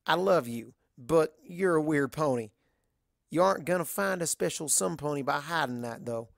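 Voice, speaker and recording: Blunt country-style pony mare, put on by a narrator, folksy, pushy, affectionate, gravelly creak, dry close recording. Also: mid-range voice, expressive, normal speed, no room sound. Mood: frank, encouraging.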